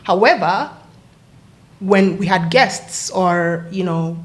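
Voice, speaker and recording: A woman, telling her life story as a podcast guest in a reflective way, with frequent pauses and fillers. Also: lowish voice. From Kenya. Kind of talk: casual interview storytelling.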